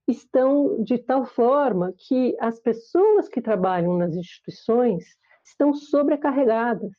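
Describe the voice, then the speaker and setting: A woman's voice: medium pitch, no room sound. Hesitant Brazilian woman professor, muffled sound. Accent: Brazilian Portuguese. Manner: hesitant, many fillers and restarts. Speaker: professor, research group coordinator. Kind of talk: panel discussion answer.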